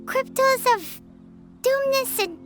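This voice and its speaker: A woman voicing a tiny, curious and excitable girl companion in a video game, with a high, childlike voice. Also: slow pace, exaggerated voice. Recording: game audio with music behind.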